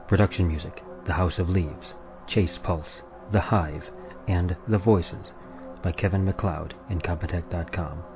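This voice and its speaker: A man reading scripted podcast credits as an announcer, in a measured, formal way. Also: low-pitched. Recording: muffled sound under music. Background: music.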